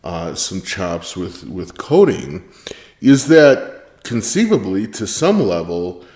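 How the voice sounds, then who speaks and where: A man's voice: low voice, sing-song, slow pace, darkish tone. A man hosting a podcast, asking questions in a curious, conversational way. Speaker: podcast host. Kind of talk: casual interview. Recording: echoey room.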